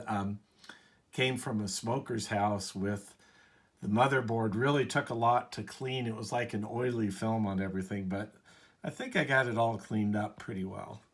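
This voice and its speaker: A man giving a casual, unscripted show-and-tell as a hobbyist, halting now and then as he thinks aloud. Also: fairly low pitch, a bit bright, husky. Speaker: hobbyist presenter of a radio repair video.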